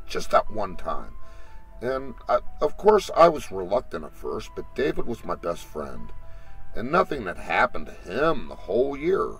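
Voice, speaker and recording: A man playing a haunted Marine veteran who recounts a horror tale in a dramatic, halting way for an audio drama. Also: low-pitched, slightly rough, exaggerated voice. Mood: reflective.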